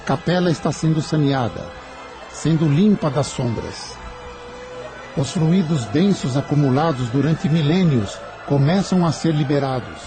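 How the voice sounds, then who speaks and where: A man's voice: on the high side, expressive, unhurried, over music. A man with a Brazilian accent playing a commanding spirit in an audio drama, grave and declamatory. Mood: commanding, triumphant. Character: commanding spirit witnessing a planet's fiery purge.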